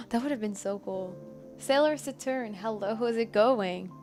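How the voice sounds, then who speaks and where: A woman's voice: fairly high pitch, measured pace, darkish tone. Woman livestreaming a game over music. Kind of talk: casual chat and live gameplay commentary.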